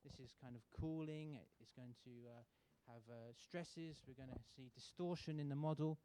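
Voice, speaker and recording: A man from the UK giving a sponsor's welcome speech at a student contest, relaxed and halting with many fillers. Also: medium pitch, slight background noise. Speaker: sponsor representative. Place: university auditorium.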